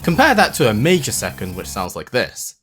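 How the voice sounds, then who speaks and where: A man narrating a video essay in an explanatory, measured way with emphatic phrasing and casual asides. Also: mid-range voice, huge pitch swings. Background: background music.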